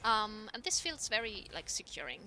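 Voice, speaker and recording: A young woman with a squeaky voice, casting a live game in a chatty way with lots of ums and laughs. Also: big pitch swings, normal speed, sharp tone, faint music behind. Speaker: esports caster.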